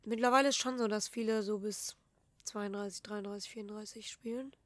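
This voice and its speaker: A woman in her twenties, a footballer and podcast guest, speaking softly and thoughtfully in casual conversation. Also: mid-range voice, fairly even intonation, fairly quick, no room sound. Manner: soft-spoken, laughs often, thoughtful.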